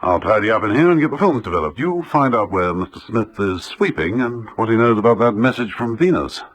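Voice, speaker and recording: Husky, suave British gentleman sleuth. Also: fairly low pitch, lively intonation, fairly quick. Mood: brisk, thoughtful. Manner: urbane, dryly witty, polite. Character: suave, wry gentleman investigator, adult man. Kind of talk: 1960s-style detective radio drama acting.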